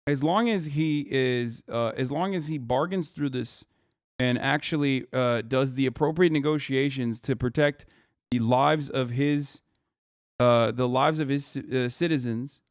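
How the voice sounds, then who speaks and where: A man's voice: medium pitch, a little slow, no echo, clean studio sound. A man streaming live, reacting to a news video with heated, profane rants and emphatic repetition.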